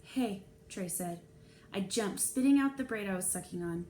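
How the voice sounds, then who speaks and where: A woman's voice: mid-range voice, animated, normal speed, warm tone. A woman narrating a children's novel aloud in a storytelling read-aloud style. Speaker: storybook reader. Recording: clear background noise.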